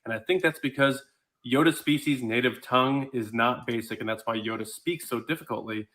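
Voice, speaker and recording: A man's voice: medium pitch, fairly quick, fairly bright tone. Upbeat male podcaster, promotional and prepared. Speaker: podcast host guest. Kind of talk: prepared prediction with show plugs.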